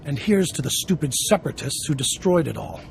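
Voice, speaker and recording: Casting: scheming game fixer, gravelly mid-range voice, worldly and wry, music underneath. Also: male voice, fairly high pitch, sing-song, fairly quick, poor audio. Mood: wry, bitter.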